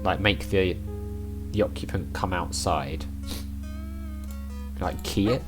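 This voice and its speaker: A man with a croaky, worn voice, chatting casually as he plays through an adventure game and thinks aloud. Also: sits low, takes it slowly, over music. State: croaky voice, recovering from overuse. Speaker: let's play gamer.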